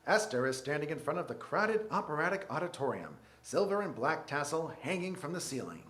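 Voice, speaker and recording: A man performs as a storytelling narrator in a 1940s radio play. The voice is high and lively, painting each scene in descriptive, scripted prose. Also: brisk. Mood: scene setting. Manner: descriptive, present-tense scene setting.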